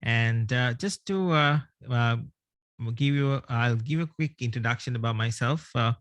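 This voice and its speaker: A man's voice: medium pitch, normal speed, a bit bright, no echo. A man from Sri Lanka, giving an online presentation over a video call with many hesitations. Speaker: guest speaker.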